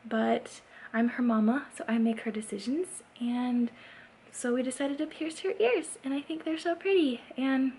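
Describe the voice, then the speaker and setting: Higher-voiced young woman vlogging over background noise. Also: moderate pace, a little mellow. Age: 20s. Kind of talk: casual chat to camera. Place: indoors at home. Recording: clear background noise, home room.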